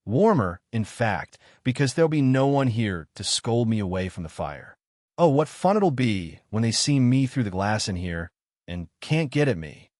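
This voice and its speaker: A man's voice: medium pitch, big pitch swings, normal speed, darkish tone, no room sound, clean studio sound, natural voice. A man voicing a curious, kindly young girl, chatty and full of wonder, in a storybook reading. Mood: pleased.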